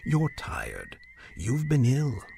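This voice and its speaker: Over clearly noisy ambience comes a sinister magician's mechanic who runs a carnival dark ride. He is courtly and mock-polite, with a theatrical delivery that swoops enormously in pitch, in the manner of an audio drama villain. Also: wildly swooping pitch, slow pace, heavily acted. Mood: coldly soothing.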